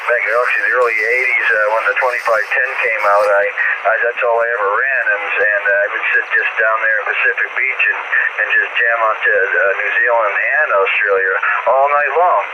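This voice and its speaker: A middle-aged or older man chatting casually over two-way radio in a halting, rambling way, repeating his words. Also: on the low side, steady tone. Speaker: amateur radio operator. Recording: muffled two-way radio with loud static.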